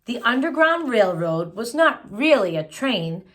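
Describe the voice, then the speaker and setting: A woman's voice: a little high, very animated, a little slow. A woman reading a children's picture book aloud, phrasing it expressively and adding simple explanatory asides for young listeners. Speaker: children's story reader.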